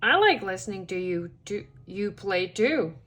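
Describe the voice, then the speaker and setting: Woman doing a lower-voiced grumpy male cat. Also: animated, unhurried, fairly bright tone. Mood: grateful. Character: grumpy, competitive male cartoon cat musician.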